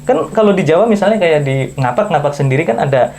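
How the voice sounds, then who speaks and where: A man's voice: a little high, animated, fast talker, darkish tone, slight background noise. A man from Southeast Sulawesi, a podcast guest, explaining in casual conversation with frequent self-corrections. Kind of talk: casual chat.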